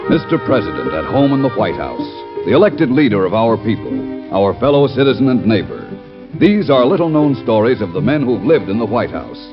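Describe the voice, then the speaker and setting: A man's voice: on the low side, sing-song, brisk. A man delivering a dramatic introduction as a 1940s radio show announcer. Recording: dull vintage radio, loud music behind. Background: loud orchestral music with strings.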